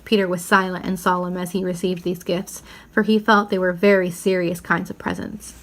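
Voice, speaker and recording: A woman reading a children's book aloud as narrator, in an expressive storytelling style. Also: lowish voice, normal speed. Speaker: story reader.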